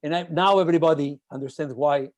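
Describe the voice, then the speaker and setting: A man's voice: fairly high pitch, fast, a little mellow, lo-fi sound. A man hosting an interview, effusive and rambling, with many restarts. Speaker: ensemble director and host. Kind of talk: enthusiastic interview chat.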